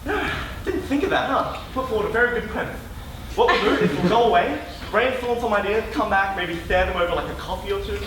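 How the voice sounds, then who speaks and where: A man's voice: sits high, fast, lightly acted. A man playing a hapless, awkward, bumbling superhero in an amateur comedy stage play. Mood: flustered, unsure. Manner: hesitant, bumbling. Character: hapless, pathetic, awkward male superhero eager to please. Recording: echoey hall from far off, noisy.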